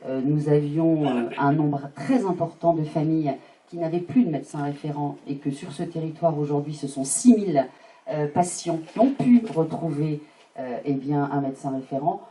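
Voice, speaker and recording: A woman giving a formal, semi-improvised public speech as a local official, halting and often searching for words. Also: low voice, dark tone. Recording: distant microphone.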